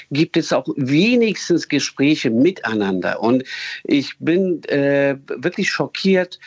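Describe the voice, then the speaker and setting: A man's voice: mid-range voice, darkish tone, no echo, highs cut off. A man from Afghanistan calling a radio show, speaking earnestly and hesitantly with many fillers. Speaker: radio caller. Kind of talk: earnest call-in appeal.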